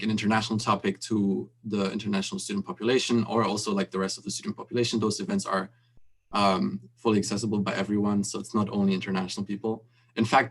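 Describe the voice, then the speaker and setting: A man's voice: lowish voice, creaky. A young male student panelist who explains things in a chatty, informal way, full of "um" and "like". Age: young adult. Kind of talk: panel Q&A answer.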